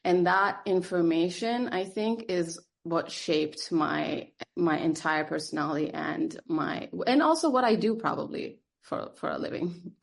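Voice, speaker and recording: A woman's voice: on the low side, lively intonation, a little slow, vocal fry, few pauses. A woman psychotherapist raised in Germany and Turkey, whose first language is Turkish, answering interview questions thoughtfully with frequent fillers and restarts. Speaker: psychotherapist guest.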